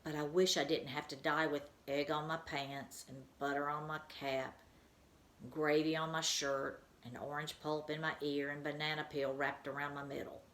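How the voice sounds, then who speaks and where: A woman's voice: low voice, level tone, normal speed, fairly bright tone. A woman voicing a small, brave male mouse who sounds glum and worried, heard in an echoey room. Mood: gloomy.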